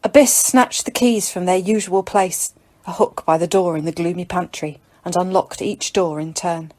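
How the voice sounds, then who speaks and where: A woman's voice: fairly low pitch, steady tone, moderate pace, darkish tone. A woman narrating scripted dramatic fiction as an audiobook reader.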